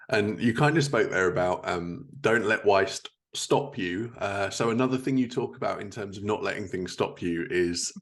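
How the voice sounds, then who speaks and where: Male podcast host, very low pitch, creaky delivery. Casual conversational interviewer.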